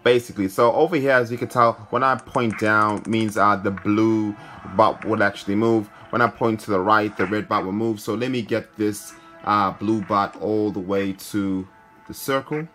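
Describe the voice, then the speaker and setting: A man's voice: fairly low pitch, fairly even intonation, normal speed, fairly bright tone, faint music behind. A man hosting a gaming video, giving casual commentary as he plays. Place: indoor room, filming a handheld phone.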